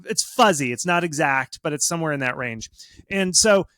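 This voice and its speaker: Higher-voiced man talking casually, dry sound. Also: expressive, moderate pace, pushed and bright, dry recording. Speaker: video game marketing expert, interview guest. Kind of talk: casual explanatory interview talk.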